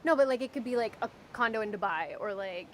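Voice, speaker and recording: A woman in her thirties asking interview questions in a friendly, chatty way, quick to agree. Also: a little high, expressive, crowd noise. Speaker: interviewer and co-star. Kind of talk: casual interview chat.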